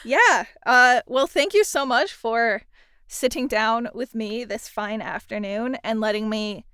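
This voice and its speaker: A woman's voice: a little high, measured pace, bright, forceful tone, clean tone, dry recording, clean studio sound. A woman hosting a casual interview, chatting in a playful, joking way. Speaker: podcast host and interviewer.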